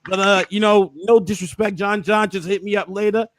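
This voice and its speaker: A hoarse man hosting a casual recap, hyping things up with preacher-like flourishes. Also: sits high, fairly even intonation, normal speed, no echo. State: hoarse, losing his voice. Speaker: host.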